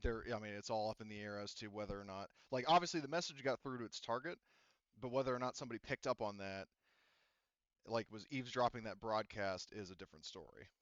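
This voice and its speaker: A man's voice: fairly low pitch, fast, a bit bright, dry recording, dull audio. A man acting as game master, explaining rulings and story in a rambling way with lots of fillers. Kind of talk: narrating a tabletop game.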